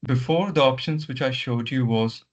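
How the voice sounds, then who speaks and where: Muffled man leading a software walkthrough. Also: mid-range voice, normal speed. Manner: instructional, repeats words and instructions. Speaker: software trainer leading a session. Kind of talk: step-by-step online software walkthrough. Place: online meeting call. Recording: muffled lo-fi online meeting audio.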